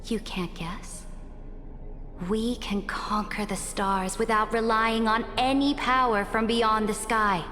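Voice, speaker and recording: Imperious female emperor, slow deliberate pace, high voice, proud, commanding, game dialogue. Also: steady tone, fairly bright tone, big-room sound, faint music behind. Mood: proud, resolute. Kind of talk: scripted video game voice acting.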